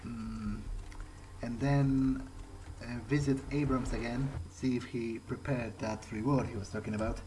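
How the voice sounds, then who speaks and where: Male gamer, mid-pitched, musing in character with halting phrasing, noticeable noise and game atmosphere underneath. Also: fairly even intonation, dark tone. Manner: hesitant, thinking aloud. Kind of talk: improvised in-character video game roleplay. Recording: clearly noisy, game ambience underneath. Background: video game ambience.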